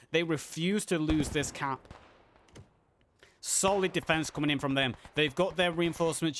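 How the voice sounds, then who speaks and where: A man casting a live game match, play-by-play with fillers, a little hoarse as he is losing his voice. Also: on the high side, background noise. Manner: excited play-by-play with frequent uh fillers. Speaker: esports caster. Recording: audible game gunfire and artillery behind. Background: game gunfire and artillery sounds.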